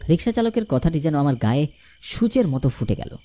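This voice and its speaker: A man narrating a scripted story in the first person, expressive and dramatic. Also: a little high, a little fast. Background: music.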